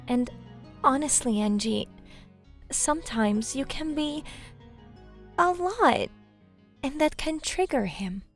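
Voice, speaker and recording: High, bubbly big-sister demon. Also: female voice, takes it slowly, long pauses, faint music behind, full character voice. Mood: soothing. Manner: expressive, coaxing, excitable. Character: bubbly, motherly big sister demon, sweet but sassy. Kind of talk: animated comic dub audio drama.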